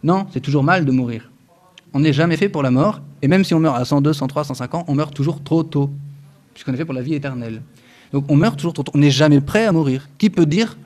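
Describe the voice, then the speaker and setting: Earnest man in his thirties teaching faith. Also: mid-range voice. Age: 30s. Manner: earnest and lively, with many hesitant fillers, restarts and touches of humor. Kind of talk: spoken religious teaching to an audience.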